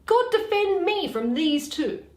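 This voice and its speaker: A woman playing a witty, weary young noblewoman in a dramatic, deliberate reading of Shakespeare. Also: extremely high pitch, sing-song, voice pushed up, exaggerated voice. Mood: mocking. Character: Portia, witty weary young noblewoman, mocking her suitors. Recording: echoey room.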